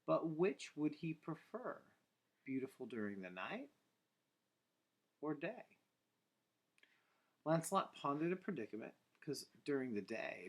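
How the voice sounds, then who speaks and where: A man reading a bedtime story aloud, breaking off for playful, teasing asides to his listeners. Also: mid-range voice.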